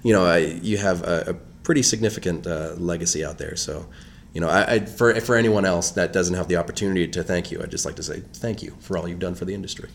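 A man's voice: low voice, fast talker, creaky, slight background noise. A man hosting an interview podcast, talking casually with many fillers and restarts. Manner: relaxed, filler-heavy, conversational. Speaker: podcast host.

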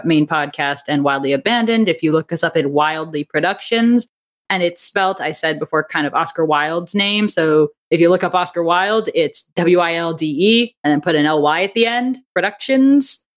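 A young adult woman chatting casually as an interview guest, with a giggly, talkative manner. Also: deep voice. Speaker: interview guest, audio drama writer and director.

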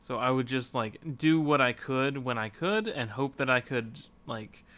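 A man co-hosting a podcast, casually discussing video games and griping in an animated way with casual swearing. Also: mid-range voice, measured pace. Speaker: podcast co-host.

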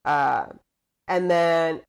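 A woman's voice: low voice, drawn-out words, creaky, smooth. A young woman from Puerto Rico, a podcast guest, chatting casually and hesitantly with many fillers. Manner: rambling, hesitant, full of "like" and "um". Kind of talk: casual chat over a call.

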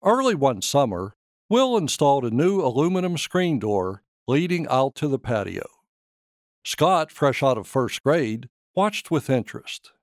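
A man narrates a short story at a slow, deliberate pace. His intonation moves freely and expressively, and the recording is close and dry. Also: mid-range voice, sing-song, no room sound. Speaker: author reading his own short story.

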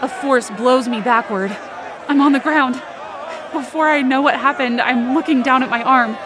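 A woman's voice: sits high, moderate pace, natural voice. A woman playing an anxious, desperate woman who narrates her own ordeal urgently in an audio drama. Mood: shocked, urgent. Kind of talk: first-person present-tense audio drama narration. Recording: noisy outdoor crowd sound effects behind.

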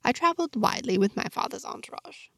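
A woman's voice: a little high, expressive, quick pace, gravelly, a bit bright, breathy edge, no room sound, clean studio sound, voice pushed up, full character voice. A woman voicing a guarded, easily annoyed young heroine who prods for answers in an audio story. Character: guarded, indignant woman, daughter of a powerful father.

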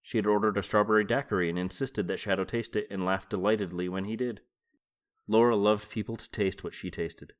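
A man's voice: on the low side, fairly even intonation, fairly quick. A middle-aged man hosting a podcast, reading a book aloud and commenting on it in an informal, musing way. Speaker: podcast host. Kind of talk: book read-aloud and casual solo commentary.

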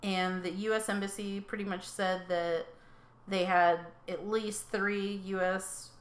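A woman's voice: mid-range voice, flat delivery, unhurried. Hesitant young woman in an echoey room. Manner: hesitant, many ums, reads out facts. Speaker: podcast co-host. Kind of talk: casual true-crime chat.

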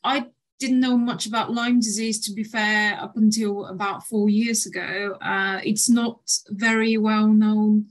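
A woman in her thirties from Bulgaria, a nutritional therapist answering interview questions in a measured way with frequent fillers. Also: medium pitch, little pitch movement.

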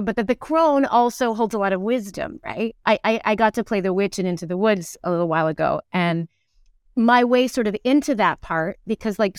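A woman, chatting as an interview guest in halting, reflective phrases. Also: mid-range voice, clean tone, dry recording, clean studio sound. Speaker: actor and singer, podcast guest. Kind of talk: casual interview conversation.

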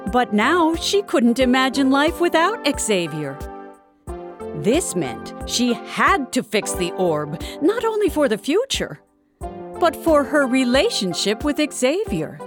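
A woman narrating a scripted audio drama like a storybook, with dramatic, deliberate delivery. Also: sits high, very animated. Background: background music.

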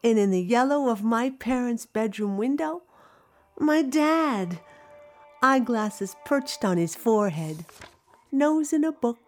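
A woman playing a grieving grown daughter, narrating an intimate audio drama about memories of home with tender, dramatic pauses. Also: fairly high pitch, expressive, faint music behind. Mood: childlike wonder. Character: grieving grown daughter recalling her childhood home with wonder. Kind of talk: intimate first-person audio drama monologue.

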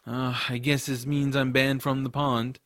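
A man playing a casual, excitable boy hero, telling a fantasy adventure in the first person. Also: mid-range voice, measured pace, no room sound, studio quality, lightly acted. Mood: amazed, teasing. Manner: casual, boyish phrasing. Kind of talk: first-person audiobook narration and drama.

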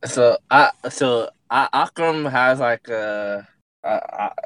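A man chatting on a podcast, halting and restarting often as he searches for the right word. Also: medium pitch, a bit bright, slight background noise. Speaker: podcast co-host. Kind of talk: casual chat.

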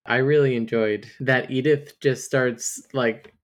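A woman's voice: very deep voice, fairly even intonation, slow. A woman co-hosting a casual book podcast, recapping chapters and reading passages aloud, laughing often. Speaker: podcast co-host.

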